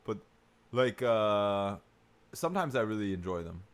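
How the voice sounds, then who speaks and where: A man's voice: fairly low pitch, expressive, unhurried. A man co-hosting a podcast, chatting casually in an opinionated, questioning way. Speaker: podcast co-host. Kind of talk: casual chat.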